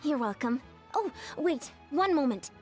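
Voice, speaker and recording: No-nonsense female game coach, high, lively intonation, music underneath. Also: measured pace. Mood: brisk, instructing. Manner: matter-of-fact, instructing. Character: no-nonsense female athletics coach, brisk and dutiful. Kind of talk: video game voice acting.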